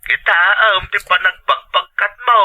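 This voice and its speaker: Sharp, high-pitched elderly Ilocano father. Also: expressive, normal speed, slightly rough, exaggerated voice. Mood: tender, grateful. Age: older. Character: elderly father, tender and grateful, on a phone call. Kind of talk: Ilocano radio drama acting. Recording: thin, band-limited telephone-style sound.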